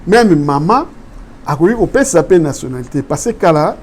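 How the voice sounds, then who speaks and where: A man's voice: on the high side, big pitch swings, normal speed, darkish tone, slight background noise. A man from Congo explaining constitutional law in an interview, mixing French and Lingala and asking rhetorical questions. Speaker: political guest.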